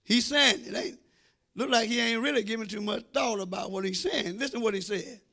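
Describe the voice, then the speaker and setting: High-pitched, gravelly, forceful male preacher. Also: fairly quick. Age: middle-aged. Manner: emphatic, repeating phrases, swinging between shouts and quieter asides. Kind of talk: animated sermon with call and response. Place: church sanctuary, speaking from the front. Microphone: handheld microphone.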